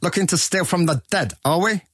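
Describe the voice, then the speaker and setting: Sneering, higher-voiced fantasy guard. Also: male voice, brisk, slightly rough, dry recording, clean studio sound. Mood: cold, suspicious. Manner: contemptuous, threatening. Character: hostile, sneering armed male merchant-guild guard. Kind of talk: full-cast fantasy audiobook acting.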